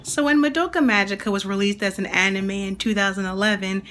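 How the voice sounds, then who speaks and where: Raspy young woman gushing about anime. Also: mid-range voice, brisk, a bit bright, slight background noise. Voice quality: slightly raspy. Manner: chatty and enthusiastic, rambling asides. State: recovering from a cold. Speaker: anime and book reviewer. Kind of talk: casual video review.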